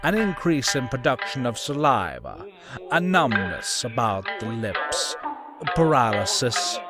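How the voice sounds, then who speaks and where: A sinister, serene monk acted by a man speaks slowly and ceremoniously, his pitch swinging dramatically. It is motion comic villainy over loud music. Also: big pitch swings. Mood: quietly menacing. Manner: formal, measured, ceremonious. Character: serene, menacing male monk who serves a dark master.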